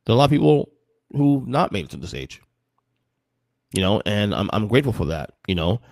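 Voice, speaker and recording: A man's voice: lowish voice, big pitch swings, fairly quick, a little mellow, no room sound, clean studio sound. A man in his forties hosting a solo podcast, talking casually with many restarts and fillers. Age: 40s. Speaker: podcast host.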